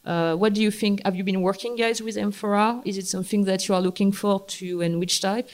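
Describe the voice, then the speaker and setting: A woman with a French accent moderating a live panel discussion. She talks in a chatty, halting way, with frequent fillers and laughs. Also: mid-range voice, steady tone, darkish tone. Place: event hall.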